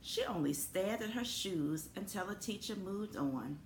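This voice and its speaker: A woman, an elementary school principal, reading a children's story aloud in a deliberate, expressive way, with simple friendly asides for young listeners. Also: mid-range voice. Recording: roomy echo with faint background noise.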